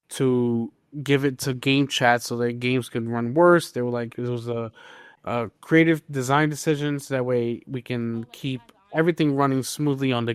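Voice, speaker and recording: A man's voice: medium pitch, clear, resonant tone, no echo, studio quality. A man hosting a podcast, casually discussing the news with exasperated, opinionated commentary. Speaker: podcast host.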